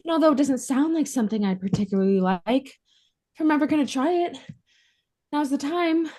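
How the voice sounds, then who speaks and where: Sitcom role: unsure, earnest young runaway bride, gentle dark-toned voice, higher register; actress at a table read. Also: female voice, lively intonation, fairly quick. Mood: hesitant, resolute.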